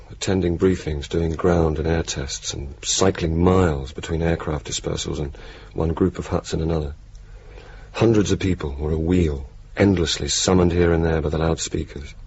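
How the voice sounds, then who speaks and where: A deep-voiced young RAF officer in a wartime radio play. He is cynical, decisive and wryly blunt. The old broadcast sounds dull, with clear noise behind it. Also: darkish tone. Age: young adult. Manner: dry, sardonic, sweary, decisive. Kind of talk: radio drama acting, dialogue and narration. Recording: muffled, noisy old radio broadcast recording.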